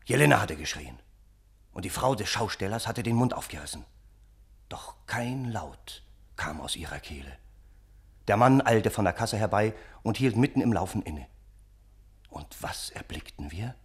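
An actor playing an earnest gentleman friend who recounts an absurd calamity in a formal, theatrical radio-play style with dramatic pauses. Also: on the low side, lively intonation, breathy edge, heavily acted. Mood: dramatic, alarmed. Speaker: narrator and character in a radio play.

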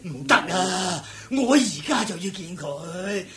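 An eager seeker desperate to be taken as a disciple in a radio drama. His voice sits high for a man. He begs insistently, stretching out his words slowly. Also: lively intonation, fairly bright tone, slight background noise, exaggerated voice. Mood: urgent pleading. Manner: insistent, beseeching, drawn-out. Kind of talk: Cantonese radio drama acting.

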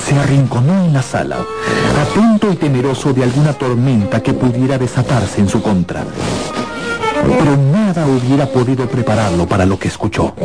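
Animated male radio drama narrator. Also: medium pitch, normal speed, loud music behind the voice.

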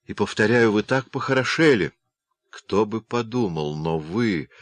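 A man reading the lines of a giddy, effusive young woman in love in an audiobook, in a low, dry voice. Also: animated, a little slow, no echo.

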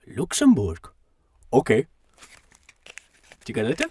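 An actor playing a grumbling, wary taxi driver in a radio crime drama, slightly distant from the microphone. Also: male voice, medium pitch, wildly swooping pitch, takes it slowly, dark tone, slightly rough, heavily acted. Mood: insistent.

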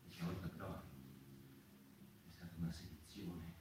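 A male actor playing a haunted, sleepless man who recounts a night of terror in halting, broken phrases, as a theatrical monologue. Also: deep voice, flat delivery, close to an everyday voice. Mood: haunted, hushed. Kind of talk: theatrical monologue, literary stage narration. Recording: echoey room, distant mic, faint noise.

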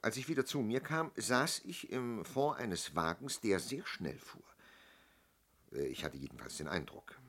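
An actor in a German radio play playing a polite older man giving a statement, husky and halting, courteously over-explaining. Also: on the low side, lively intonation, a bit bright, full character voice. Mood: polite, hesitant. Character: polite, hesitant older male robbery victim giving a statement.

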